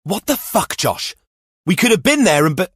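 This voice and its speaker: Audiobook role: reckless older teen boy played by a male narrator, raised pitch, husky, swinging intonation, sweary and whiny. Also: sing-song, normal speed, no echo, studio quality, full character voice. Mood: irritated. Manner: whining, swearing, goading. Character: cocky, foul-mouthed older teenage boy, impatient and reckless.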